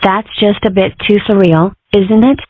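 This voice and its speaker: A woman's voice: medium pitch, steady tone, moderate pace, dry recording, close to an everyday voice. Casting: a woman playing a matter-of-fact young cartoon critter girl, narrow lo-fi recording. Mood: wry. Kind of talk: comic strip dub. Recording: muffled phone-like band.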